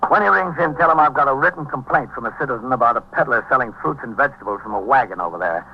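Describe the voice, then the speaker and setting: Casting: male actor as brisk, authoritative American precinct captain, dry and businesslike, on dull vintage radio. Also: mid-range voice, animated, lightly acted. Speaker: lead actor and narrator of police drama. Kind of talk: 1950s radio drama acting and narration. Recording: dull, hissy 1950s radio recording.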